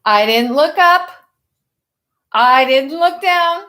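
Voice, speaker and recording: Higher-voiced woman reading aloud to children. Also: animated, takes it slowly, bright, forceful tone. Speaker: children's read-aloud host, teacher. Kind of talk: picture-book read-aloud with asides to children.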